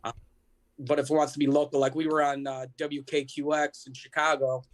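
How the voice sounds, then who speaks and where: American band guy getting interviewed, bit higher voice, casual but earnest, explaining stuff. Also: level tone, brisk. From United States. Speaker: band member being interviewed. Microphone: video call.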